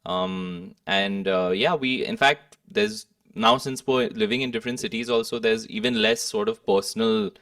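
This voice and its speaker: Echoey, creaky-voiced young man. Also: medium pitch, sing-song, normal speed, few pauses, fairly bright tone, clean studio sound. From Kolkata, India. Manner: hesitant, thoughtful, hedging phrasing. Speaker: musician, interview guest. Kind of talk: reflective interview conversation. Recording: echoey room, distant microphone.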